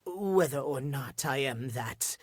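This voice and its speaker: A man playing a tightly wound, fastidious gentleman in a video game, with formal, earnest, theatrical phrasing. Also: mid-range voice, animated, breathy edge, dry recording. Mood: tender, earnest. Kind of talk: video game character acting.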